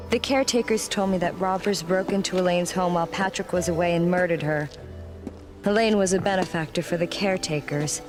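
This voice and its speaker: A woman's voice: fairly low pitch, close to an everyday voice. An actress playing a cool, serious young woman investigator in a video game cutscene, with music behind her.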